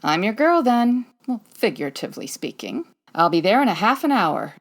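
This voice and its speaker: A woman playing a wry 1940s lady detective in a radio mystery. She is breezy and commanding, with a lively, widely moving mid-range pitch. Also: normal speed, a little hiss or hum. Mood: breezy, confident. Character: quick-witted, breezy 1940s female private detective.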